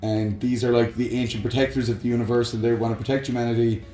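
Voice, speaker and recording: A man of average pitch talks as a co-host in an echoey room, with faint music behind him. He explains his views casually and at length, wandering from point to point with enthusiasm. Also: little pitch movement, fast talker, warm tone. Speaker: co-host of a film and games discussion show. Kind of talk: casual banter and opinionated chat. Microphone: close microphone on a stand.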